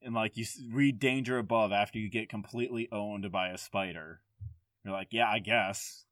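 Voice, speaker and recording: A man's voice: on the low side, steady tone, normal speed, fairly bright tone, no echo, clean studio sound. A man on a podcast panel, chatting informally and anecdotally about a video game.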